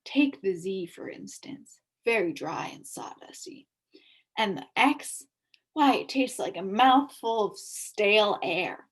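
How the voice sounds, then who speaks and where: A woman's voice: medium pitch, animated, slow, slightly rough. A woman doing a chatty, confiding letter seller.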